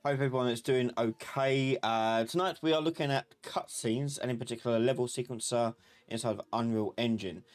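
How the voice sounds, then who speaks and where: A man tutoring on a live stream in a casual, slightly halting way, often repeating words as he explains. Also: medium pitch, steady tone, faint music behind.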